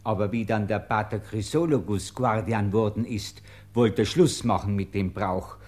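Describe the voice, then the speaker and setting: An actor playing a plain-spoken Bavarian witness, recounting in a folksy, matter-of-fact way in a radio play. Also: male voice, lowish voice, moderate pace, darkish tone, natural voice. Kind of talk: German radio play acting.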